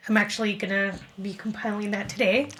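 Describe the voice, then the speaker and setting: Mid-pitched woman in a casual interview. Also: level tone, a little fast, a bit bright. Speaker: radio club executive director, interview guest.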